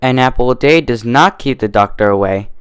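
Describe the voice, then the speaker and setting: A man's voice: mid-range voice, brisk, smooth, lightly acted. A man voicing a teasing, argumentative female carrot-farmer pony in a cartoon fan-fiction reading. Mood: needling. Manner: needling, argumentative.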